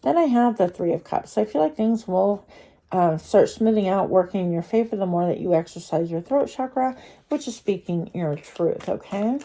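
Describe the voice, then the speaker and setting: A woman's voice: mid-range voice, lively intonation, moderate pace, warm tone. A woman with a cold and a congested voice, giving a casual, chatty tarot reading to her viewers with frequent fillers and restarts. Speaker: tarot card reader.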